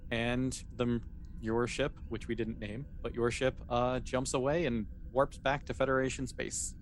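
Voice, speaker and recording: Guy game mastering, easygoing and encouraging, mid pitch, bit of noise behind him. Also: flat delivery, unhurried. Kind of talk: casual tabletop game narration and chat.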